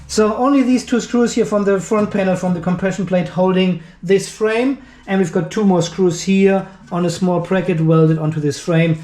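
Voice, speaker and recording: Guy w high voice, reviewing gear hands-on, thinks out loud, echoey room. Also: fairly even intonation, moderate pace, hardly any pauses, a little hiss or hum. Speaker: video host and product reviewer. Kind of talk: unscripted hands-on product review commentary.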